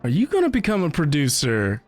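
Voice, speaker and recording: A woman reacting casually to a video game, talking in an animated, slangy way. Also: low voice, brisk, soft background music.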